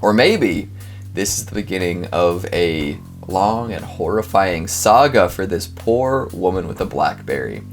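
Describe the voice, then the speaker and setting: A man hosting a casual comedic reaction show, talking in an animated, wry, rambling way with sudden exclamations. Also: sits low, unhurried, soft background music. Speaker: host reacting to scary clips.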